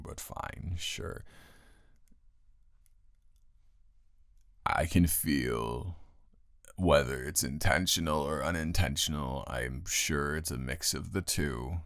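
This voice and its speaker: A man playing a grumpy, tired young male roommate who is secretly smitten, in a halting, exasperated, intimate improvised audio roleplay. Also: a bit bright, no room sound, exaggerated voice. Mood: weary, reluctant. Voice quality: very deep, gravelly, close and dry.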